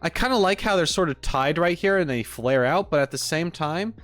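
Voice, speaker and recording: A man's voice: on the high side, animated, brisk, a bit bright, soft background music. Casual, rambling male streamer. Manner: rambling, informal, frequent hedging and "like". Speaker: streamer reacting to game character trailers. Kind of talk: casual live-stream chat and critique.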